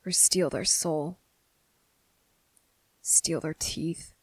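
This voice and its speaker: A woman voicing a guarded, defiant young boy with deliberate, halting, serious delivery in an ASMR roleplay audio drama. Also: low-pitched, fairly even intonation, husky, full character voice. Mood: puzzled, guarded.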